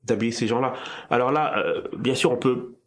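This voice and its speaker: A man's voice: medium pitch, normal speed. Man, mid-range voice, casual discussion, restarts and repeats words while thinking. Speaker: podcast host.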